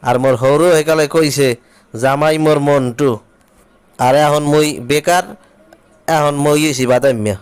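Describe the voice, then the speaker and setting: A man's voice: on the high side, steady tone, normal speed, long pauses, darkish tone, clean tone, lightly acted. A man with a Barisal-region Bangladeshi accent playing an aggrieved, jobless husband home from abroad in a comic cartoon. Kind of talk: comic cartoon dialogue in rural dialect.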